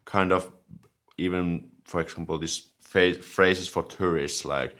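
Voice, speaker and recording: A man with a deep, low voice hosts a relaxed live stream about language learning. He reads and answers viewer comments in a chatty, easygoing way, dropping "like" as filler between thoughts. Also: unhurried. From Finland.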